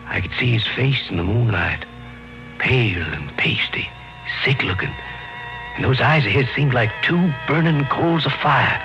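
An actor in an old radio drama playing a superstitious rural caretaker, telling things in a halting, folksy, ominous way. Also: male voice, medium pitch. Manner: folksy, halting, foreboding storytelling. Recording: muffled vintage radio recording, music behind.

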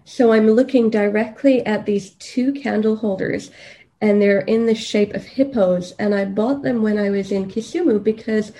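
A woman's voice: medium pitch, steady tone, normal speed, mellow. A woman from Kenya speaking conversationally as a podcast guest, telling personal stories. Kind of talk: conversational personal storytelling interview.

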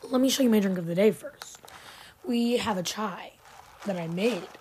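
A young woman in her twenties hosting a podcast, chatty and a bit sarcastic, her voice raspy from having just woken up. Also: medium pitch, normal speed, a little mellow, a little hiss or hum, poor audio. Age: 20s. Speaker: podcast host. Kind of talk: casual chat. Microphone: filmed podcast setup.

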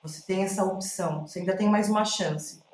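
A woman's voice: medium pitch, a little mellow. Brazilian woman in an echoey room, thinking aloud. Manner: thinking aloud, halting and searching for words. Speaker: playwright, interview guest. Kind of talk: reflective interview answers, spontaneous. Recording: roomy, echoing sound.

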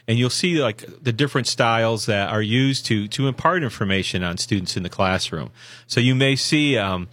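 A hoarse man co-hosts a college radio show from a studio, giving rambling, folksy advice full of restarts and fillers. His voice sits in a middle pitch. The studio sound is dry and close. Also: a bit bright, dry recording. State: hoarse from a sinus infection. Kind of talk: casual radio promo talk.

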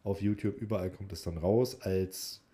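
A man's voice: low-pitched, fairly even intonation, moderate pace, mellow. A man co-hosting a podcast in casual banter, with a jokey, teasing way of talking. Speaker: podcast co-host.

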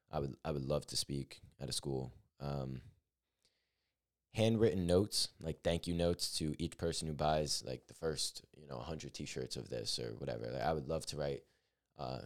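An eighteen-year-old man hosting his own podcast, talking through his plans in an earnest, upbeat, rambling way with many fillers. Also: extremely low pitch. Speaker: podcast host. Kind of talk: solo podcast monologue.

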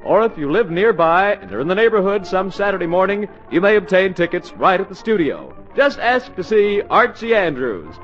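A man's voice: high voice, lively intonation, moderate pace, hardly any pauses, a bit bright. An American man announcing in a polished, formal scripted style on a 1940s radio broadcast. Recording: muffled 1940s radio broadcast, faint music.

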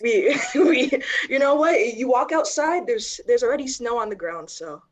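A woman's voice: a little high, expressive, moderate pace. A young woman from Canada chatting casually, joking and laughing often. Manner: giggly, joking, lots of fillers. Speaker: conversation partner. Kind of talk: casual chat.

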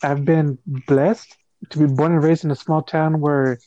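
A man's voice: fairly high pitch, little pitch movement, measured pace, warm tone, dry recording, highs cut off. A man from the United States in a casual group discussion, talking hesitantly and rambling.

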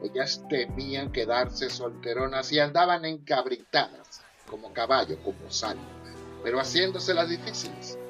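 A man's voice: medium pitch, lively intonation, normal speed, music in the background, close to an everyday voice. A man narrating a humorous radio soap as a theatrical storyteller. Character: theatrical storyteller of a comic 1950s tale.

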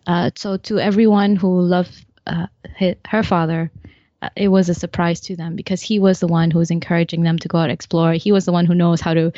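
Female podcast co-host, lower register, blended North American-Malaysian accent, chatty, light laughs, slightly dull close-room sound. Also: little pitch movement, brisk, warm tone, no room sound. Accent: mixed North American and Malaysian. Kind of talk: casual podcast chat.

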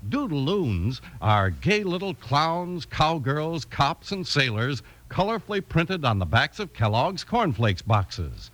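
A man's voice: fairly high pitch, big pitch swings, slow, few pauses, a bit bright. A man with an American accent announcing in an emphatic, scripted 1950s radio style. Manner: emphatic, salesmanlike.

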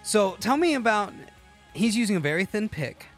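A man's voice: high voice, big pitch swings, moderate pace, a bit bright. Rambling male podcast host. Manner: rambling, searching for words. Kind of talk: casual chat about music. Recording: dry, music playing behind.

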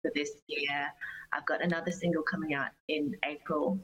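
A woman's voice: sits low, little pitch movement, moderate pace, a bit bright, breathy edge, rough recording. A young woman, a singer-songwriter, chatting casually as an interview guest.

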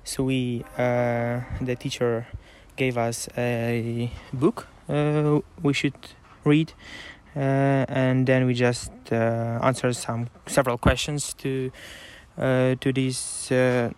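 Slow, halting man. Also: mid-range voice, level tone, a little mellow, clean tone. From Ukraine. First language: Russian. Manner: halting, many "uh" pauses. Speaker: podcast guest student.

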